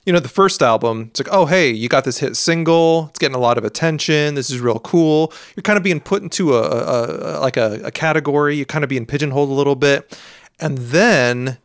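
A man co-hosting a casual podcast, rambling and animated as he talks about albums, with plenty of fillers. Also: on the high side, fairly quick, clean tone, no room sound, clean studio sound.

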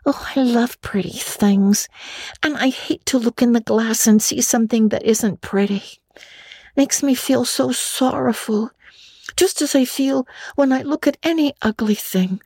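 A woman's voice: fairly high pitch, a little slow, darkish tone, no room sound, pitched above the performer's own voice. A woman voicing an imaginative, talkative young girl in a storybook reading, earnest and dramatic, with gushing chatter. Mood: indignant, sorrowful.

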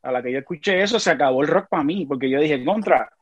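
A man with a Puerto Rican accent, a podcast guest telling animated stories in a casual conversation. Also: fairly high pitch, quick pace, husky, no room sound, lo-fi sound. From Puerto Rico. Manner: animated storyteller, frequent restarts.

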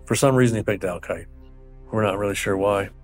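A man, an investigator, recounting details of a case in a measured, matter-of-fact interview. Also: on the low side, faint music behind. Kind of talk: interview clip.

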